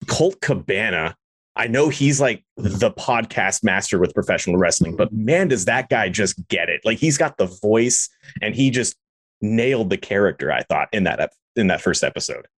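A man's voice: lowish voice, lively intonation, normal speed, a bit bright, no echo. A man hosting a podcast in casual interview chat, talking like a friendly, enthusiastic fan.

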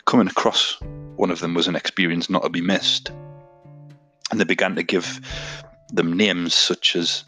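Deep-voiced man hosting a podcast, crisp forceful tone. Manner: conversational, addresses listeners directly. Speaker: podcast host. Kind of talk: scripted podcast narration with casual asides.

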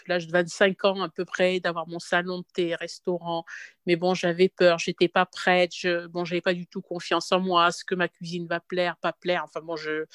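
Halting woman in her fifties, dry sound. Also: fairly low pitch, no echo. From Morocco. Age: 50s. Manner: halting, with frequent restarts. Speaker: interview guest, tea room owner. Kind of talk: podcast interview.

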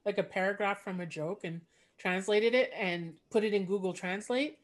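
A woman's voice: medium pitch, moderate pace. A woman from Canada, a stand-up comedian chatting casually on a video call, laughing often and trailing off in filler-heavy phrases.

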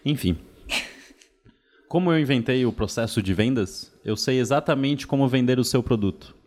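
A Brazilian man doing a boastful 1800s encyclopedia peddler who brags about his own genius. He stammers and restarts as he pitches himself, with a Brazilian accent and a middle-pitched, ordinary male voice. The tone is improv comedy. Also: moderate pace, darkish tone, close to an everyday voice. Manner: boastful, stammering fake-British salesman patter.

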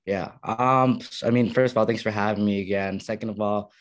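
Young Ethiopian-raised man, low voice. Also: a little fast. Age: young adult. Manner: relaxed storytelling with many fillers. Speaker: podcast guest. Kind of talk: casual interview chat. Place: college dorm room.

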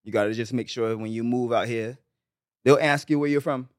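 A man's voice: medium pitch, steady tone, brisk, no room sound, clean studio sound. A man from Barbados, guest on a podcast, chatting casually and easygoing, often adding "you know what I'm saying.". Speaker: guest musician.

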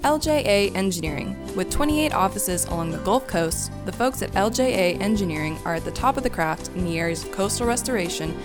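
Woman reading a sponsor spot over loud music. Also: mid-range voice, expressive, brisk, few pauses. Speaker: network sponsor announcer. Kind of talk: scripted sponsor read.

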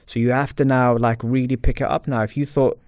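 A man's voice: mid-range voice, level tone, fairly quick, a little mellow, dry recording, studio quality. A man speaking as an interview guest, talking earnestly and reflectively about his personal life.

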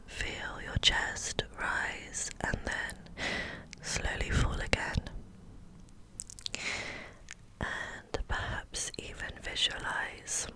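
Asmr lady whispering a guided relaxation, super slow n soothing, all breath. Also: low-quality recording. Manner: gentle, soothing, unhurried instruction. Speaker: ASMR channel host.

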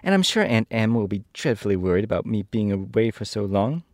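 A man's voice: lowish voice, very animated, fairly quick, no room sound, studio quality. A man voicing an earnest, timid, helpless little girl from a storybook, with a simple pleading manner. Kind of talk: storybook character reading.

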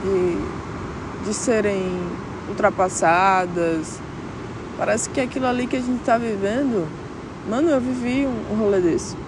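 A woman's voice: mid-range voice, a little slow, noisy background. A young woman vlogging outdoors in a casual, slang-filled reflective chat, speaking directly to her viewers. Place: outdoors on a clifftop by the beach.

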